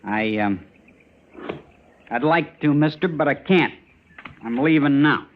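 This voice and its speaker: An actor playing a surly, evasive drifter in an old western radio drama, answering in sullen, folksy clipped lines on a dull recording. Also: male voice, fairly high pitch, slow, a little hiss or hum. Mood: sullen, defensive.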